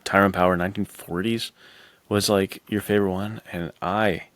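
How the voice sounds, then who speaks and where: A man's voice: deep voice, steady tone, normal speed, a bit bright, dry recording. An American man co-hosting a podcast, chatting about films in an informal, slangy, enthusiastic way. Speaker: podcast co-host. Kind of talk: casual film chat.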